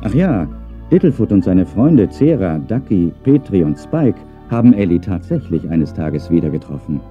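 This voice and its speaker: A man's voice: low voice, big pitch swings, moderate pace, phone-quality sound. A man reading scripted narration for a children's audio play, as a storyteller. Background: music.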